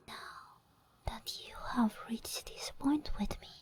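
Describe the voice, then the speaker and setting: A woman voicing a possessive, seductive sleep paralysis demon in a breathy whispered ASMR roleplay, slow and intimate. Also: on the high side, a little mellow. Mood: possessive, teasing. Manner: slow, intimate, lingering delivery.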